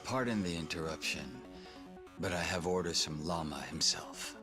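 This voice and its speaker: A man's voice: low voice, moderate pace, lightly acted. An actor playing a polite, cool and mysterious male enforcer in a video game cutscene, composed and formal. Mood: calm, courteous. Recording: music under the voice. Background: music.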